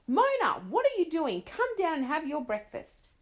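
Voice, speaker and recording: A stern, impatient mother shouts and scolds her child, as a woman reader puts her on. Her voice is loud and pushed up high. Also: wildly swooping pitch, fast. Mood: angry shouting.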